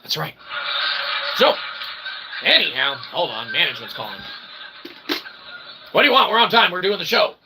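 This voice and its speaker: Over clearly noisy livestream audio, a man delivers a rambling comic monologue. His voice sits a little high for a man and has a gravelly, creaky edge. He talks with mock pomp, piling up inflated invented words and stock tags. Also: big pitch swings, normal speed, long pauses. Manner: repeats stock catchphrases and tags like "okay?". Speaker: streamer and channel host.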